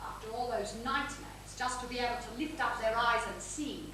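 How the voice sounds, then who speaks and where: A woman's voice: a little high, close to an everyday voice. Woman acting imperious wealthy widow in echoing theatre. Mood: insistent, hushed. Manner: domineering, theatrical, deliberate. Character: imperious wealthy older widow, commanding and ruthless mother. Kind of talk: stage play acting. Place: small theatre stage. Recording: very echoey theatre, distant mic, noisy.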